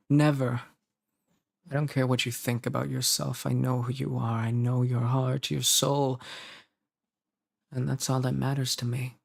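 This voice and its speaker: A man performs a devoted, possessive boyfriend in a romance audio drama. His mid-pitched voice is gravelly with vocal fry, and he pleads tenderly and earnestly, pausing for dramatic weight. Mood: adoring, insistent. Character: intensely devoted male lover, tender, protective, possessive. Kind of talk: romantic roleplay audio acting.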